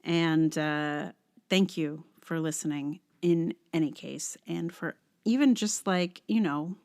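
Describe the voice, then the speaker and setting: A woman's voice: fairly low pitch, clear, resonant tone. A woman podcast host chatting casually in a rambling, conversational way.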